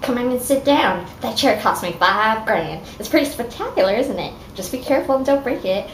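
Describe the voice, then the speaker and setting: A woman's voice: on the high side, expressive, a little fast, recorded from a distance, natural voice. A woman voicing a breezy, boastful rich male superhero in a showy, casual fan fiction reading. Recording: echoey room with clear background noise.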